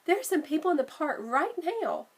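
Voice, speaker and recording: A woman's voice: high voice, big pitch swings, moderate pace, pitched above the performer's own voice. A woman voicing an eager, bold young girl in a storybook, urging and exclaiming. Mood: eager, excited.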